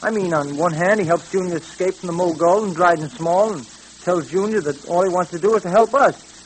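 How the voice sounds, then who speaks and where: An actor playing an affable, inquisitive police sidekick with a conversational manner in an old-time radio drama. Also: male voice, sits high, a little fast, close to an everyday voice. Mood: concerned. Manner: conversational, questioning. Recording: noisy old radio recording.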